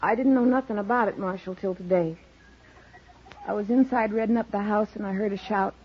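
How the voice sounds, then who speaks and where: An actress playing a proud, bitter, plain-spoken frontier homesteader with a rural American accent in a 1950s radio western, terse and stern. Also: female voice, medium pitch, brisk, a little hiss or hum, natural voice. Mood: bitter, resigned. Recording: muffled old radio recording.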